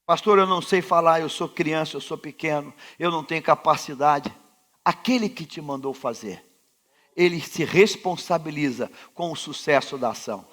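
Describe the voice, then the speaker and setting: A Brazilian pastor with a Rio de Janeiro accent, preaching a sermon in a large church sanctuary, who poses rhetorical questions and repeats refrains, swinging from quiet to loud. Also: male voice, on the high side, moderate pace. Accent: Rio de Janeiro Brazilian Portuguese.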